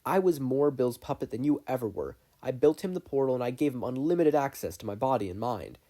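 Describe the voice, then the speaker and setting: Adult playing an intense, mellow older great-uncle. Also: mid-range voice, expressive, fairly quick, natural voice. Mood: regretful. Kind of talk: fan fiction audiobook character voice.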